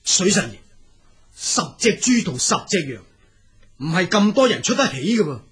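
A man's voice: sits high, very animated, normal speed, fairly bright tone, husky, heavily acted. A man with a Cantonese accent playing an exiled dragon spirit in an audio drama, earnest, humble and principled, with hesitant, deferential yet firm delivery.

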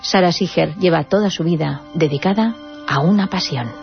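A woman's voice: lowish voice, normal speed. A woman with an accent from Spain presenting a radio segment as scripted biographical narration. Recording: dull radio audio over music. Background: clear background music.

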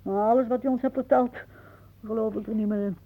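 An actress playing a fretful, suspicious older aunt with a sharp tongue in a radio play. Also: female voice, fairly high pitch, steady tone, brisk. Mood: scolding. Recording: muffled phone-like old radio recording.

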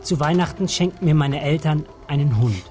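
An actor playing a grown narrator who recalls a village childhood in measured, reflective radio drama storytelling. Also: medium pitch, lively intonation, darkish tone, faint music behind, close to an everyday voice.

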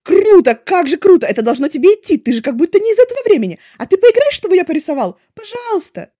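A woman voicing an exuberant, chatty young male artist in an audiobook, gushing and excitable. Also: extremely high pitch, quick pace, voice pushed up. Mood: thrilled, gushing. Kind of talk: expressive audiobook character voice.

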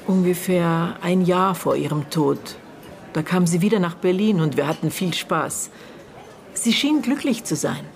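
Radio drama: middle-aged aunt of a dead girl, lowish female voice, terse, halting, guarded, bitter edge. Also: lively intonation, faint music behind. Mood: reflective, guarded. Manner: terse, halting, broken phrases with thoughtful pauses. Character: murdered girl's aunt, bitter, guarded, searching her memory. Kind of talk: naturalistic German radio drama acting.

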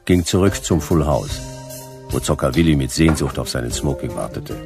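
A laconic noir private eye for a radio play. His voice is extremely deep, with a heavy creak and a lively swing in pitch. He delivers short, dry, deadpan fragments. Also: moderate pace, music in the background, full character voice. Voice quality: gravelly. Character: laconic hard-boiled male private detective narrator. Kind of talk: scripted noir radio drama narration.

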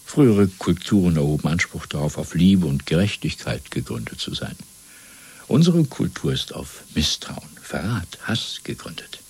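An actor playing a coldly domineering party interrogator in a German radio play, lecturing in a measured, zealous way with a gravelly, husky voice. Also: male voice, low voice, big pitch swings, heavily acted. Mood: menacing, exalted. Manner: measured, lecturing, swelling from hushed to fervent.

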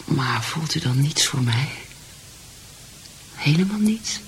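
A woman's voice: deep voice, expressive, slow, a little hiss or hum, full character voice. An actress in a radio drama playing a distraught, lovesick married mother, pleading and often near whispering.

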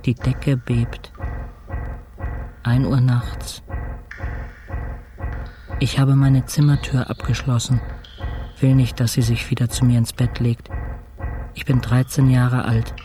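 An actor playing a weakened, introspective hospital patient in a radio play, speaking in measured, terse fragments. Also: male voice, mid-range voice, little pitch movement, dark tone, soft background music, lightly acted. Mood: haunted, restrained. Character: weakened male hospital patient, introspective, haunted, recounting his ordeal. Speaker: actor narrating a radio play in first person. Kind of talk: literary radio drama monologue.

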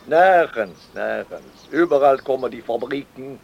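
Jovial German-accented pedlar, very animated. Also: male voice, mid-range voice, measured pace, vocal fry, slight background noise. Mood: lamenting. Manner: chuckling, repeats words, mixes in German. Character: jovial wheedling foreign pedlar with a cabinet on his back. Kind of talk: radio play acting.

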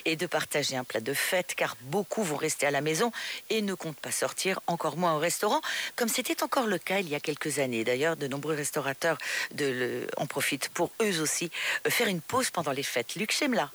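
A woman presents the news on the radio. She has a fairly low voice and speaks in the delivery of a bulletin, moving through reports as a presenter. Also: lively intonation, brisk, a bit bright.

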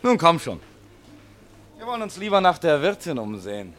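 An actor playing a practical, jaunty young military doctor, brisk and teasing, in an old radio play. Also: male voice, fairly high pitch, sing-song, a crowd in the background. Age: young adult. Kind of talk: 1960s radio play acting. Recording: old radio drama, crowded tavern ambience.

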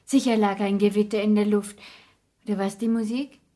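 A woman acts out a chatty, scatterbrained lady of high society in old radio drama style. Her older voice sits at a medium pitch. She rambles politely and tacks small questions onto her remarks. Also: flat delivery, moderate pace, mellow, natural voice. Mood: chatty, genial. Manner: rambling, genteel, questioning tags. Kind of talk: 1960s German radio drama acting.

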